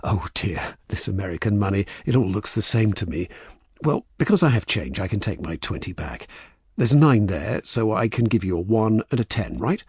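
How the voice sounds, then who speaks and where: A male narrator plays an English trickster in a storybook, all courteous apology and feigned bewilderment. His voice is husky and swings widely in pitch, with an English accent. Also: big pitch swings, normal speed, a little mellow, no echo, studio quality, exaggerated voice. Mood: apologetic, feigning confusion. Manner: polite, apologetic, feigning confusion. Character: polite English con man, smooth and apologetic.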